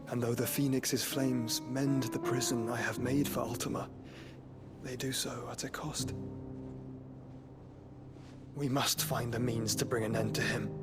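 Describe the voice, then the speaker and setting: An actor with a British accent playing an earnest, ailing young nobleman in a video game, speaking in formal, measured phrases. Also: mid-range voice, close to an everyday voice. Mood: weary, resigned. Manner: grave, measured, formal diction. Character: ailing, earnest young nobleman, wielder of fire, devoted brother. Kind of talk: dramatic video game acting. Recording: orchestral music behind.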